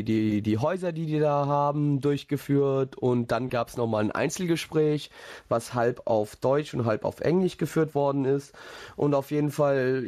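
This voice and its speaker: A man's voice: medium pitch, a little mellow, dry recording. Chatty young man, dry close sound. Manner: rambling, chatty, many fillers and restarts. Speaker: podcast host. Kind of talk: casual storytelling chat.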